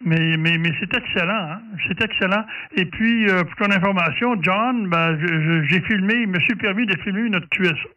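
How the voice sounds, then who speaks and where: A man with a Quebec French accent in a casual, halting amateur radio exchange, often repeating his words. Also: high-pitched, sharp tone. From Quebec, Canada. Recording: dull, distant amateur radio audio.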